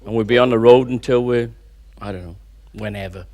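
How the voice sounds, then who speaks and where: A man's voice: mid-range voice, fairly quick, a little mellow. A man, a band's rhythm guitarist, answering in a casual interview in a laconic, offhand way. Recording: distant mic.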